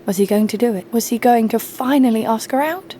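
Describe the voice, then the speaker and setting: A woman's voice: on the high side, fairly quick, dark tone, slight background noise, natural voice. A woman playing a sassy, snarky heroine who narrates an audio drama story over faint music. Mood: storytelling. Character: sassy, snarky female sidekick-owning storyteller, fond but teasing. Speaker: narrator and lead. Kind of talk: scripted first-person story narration.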